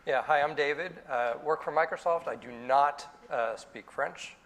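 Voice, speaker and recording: A man's voice: a little high, moderate pace, a crowd in the background. A man giving a casual, joking introduction at a conference talk. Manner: jokey, casual, makes puns. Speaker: conference speaker.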